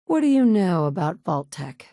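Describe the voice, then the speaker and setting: A tough, sardonic wasteland survivor with a low-ish woman's voice, blunt and dry, tossing off sarcastic asides. The studio sound is close and completely dry, with no room around it. It sounds like polished game dialogue. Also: sing-song, normal speed, warm tone, dry recording. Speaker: player character. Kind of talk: video game voice acting. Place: studio booth. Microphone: close studio mic.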